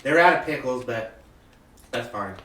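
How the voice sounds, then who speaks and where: A man's voice: mid-range voice, lively intonation, a little slow, far from the mic, a crowd in the background. Echoey guy a bit away from the mic, just chatting casually on a podcast. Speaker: podcast participant. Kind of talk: casual chat. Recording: roomy, off-mic, faint background noise.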